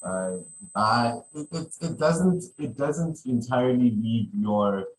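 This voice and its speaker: A man's voice: medium pitch, animated, takes it slowly, poor audio. A man hosting a podcast in casual conversation, rambling and often repeating his phrases. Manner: rambling, repeats phrases, many "you know". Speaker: podcast host.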